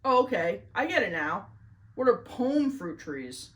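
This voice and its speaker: A woman's voice: a little high, very animated, unhurried, a bit bright. A woman voicing a curious, easily amazed boy asking questions in a children's storybook. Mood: puzzled, curious. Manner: questioning, wide-eyed storybook dialogue.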